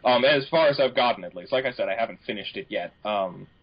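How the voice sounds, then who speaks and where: Husky man chatting, dull lo-fi sound. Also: lowish voice, fast talker. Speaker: podcast co-host. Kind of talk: casual chat. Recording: dull, lo-fi podcast audio.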